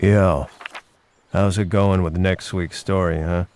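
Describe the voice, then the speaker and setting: A man playing a cool, menacing hitman with terse, casual street swagger in an animated game. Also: low-pitched, slow, no echo. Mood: taunting, threatening. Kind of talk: animated game cutscene dialogue.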